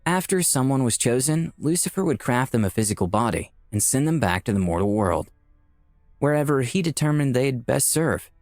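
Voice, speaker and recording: A man's voice: medium pitch, animated, normal speed, darkish tone, no room sound, soft background music, natural voice. A man playing a skeptical, dryly sardonic dead man in Hell, narrating a visual novel in a wry, conversational way. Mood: explaining.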